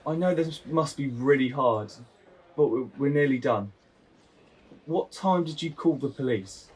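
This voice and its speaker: Man acting a mild, husky police detective. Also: medium pitch, a little slow, a little mellow, poor audio. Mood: gentle, official. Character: mild, considerate junior male police detective. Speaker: actor in an audio play. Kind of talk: naturalistic audio drama acting.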